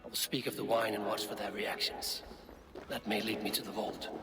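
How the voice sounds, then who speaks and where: A man playing a calm, decisive Viking warrior in a video game, speaking in a measured, purposeful way. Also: fairly low pitch, very noisy, natural voice. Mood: guarded, courteous. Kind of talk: video game character acting.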